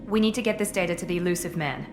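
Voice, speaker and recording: Hard-nosed female operative, actress: businesslike, brisk, slightly low, video game drama, score underneath. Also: soft background music, lightly acted. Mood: curt, suspicious. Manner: curt, businesslike.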